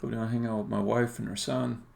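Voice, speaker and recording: A man, middle-aged, a game developer talking casually and opinionatedly through a live-coding stream, halting at times and then emphatic. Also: lowish voice, flat delivery, gravelly, darkish tone.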